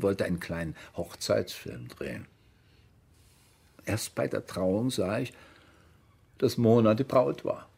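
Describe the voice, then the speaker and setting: An actor in a radio drama playing a defensive, self-pitying older man, evasive and dismissive, with a husky, gravelly voice. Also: low voice, darkish tone, heavily acted. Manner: evasive, dismissive, matter-of-fact. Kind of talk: German radio crime drama acting, interview monologue.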